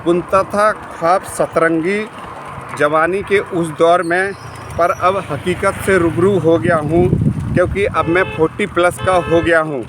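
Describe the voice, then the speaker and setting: A man in his forties recites his poems in a high voice, slowly and rhythmically, with pauses between lines. He is on a bicycle outdoors, and faint traffic hums behind him. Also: steady tone. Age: 40s. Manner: measured, rhythmic reading with pauses. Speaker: poet. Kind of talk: poetry recitation while cycling.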